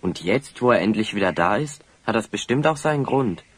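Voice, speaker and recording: Radio play role: teenage boy adventurer, lowish and husky, animated delivery, sensible and friendly. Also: fairly quick, no room sound. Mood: reasoning. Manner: reasoning, gently chiding.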